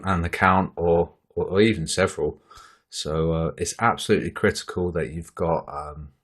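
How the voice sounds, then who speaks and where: A tired man with a deep voice, hosting casual market commentary in a relaxed, rambling way with frequent fillers. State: tired after a long bike ride. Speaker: trading channel host.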